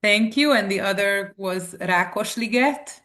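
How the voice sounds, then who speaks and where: Unhurried woman moderating an online Q&A. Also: mid-range voice, a bit bright. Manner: reads out audience questions, polite thanks, light fillers. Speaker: event moderator.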